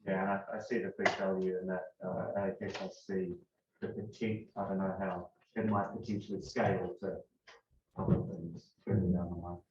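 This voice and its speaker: A man asking a hesitant, searching question at a conference, pausing often with ums. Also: deep voice, little pitch movement, noisy background. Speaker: audience member asking a question. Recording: distant, echoey, dull room mic.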